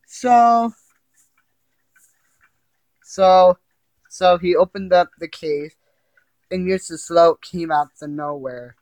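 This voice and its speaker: A man telling a horror story informally, in a halting, rambling and repetitive way. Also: high-pitched, darkish tone, no echo, rough recording. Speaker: amateur storyteller reading a creepypasta. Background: ticking and chiming clock.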